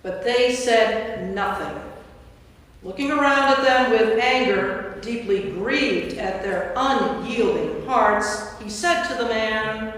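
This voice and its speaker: Female narrator, measured storytelling for a staged scripture reading. Very reverberant church, distant mic. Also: a little high, a bit bright. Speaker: narrator, Episcopal priest. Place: large church interior.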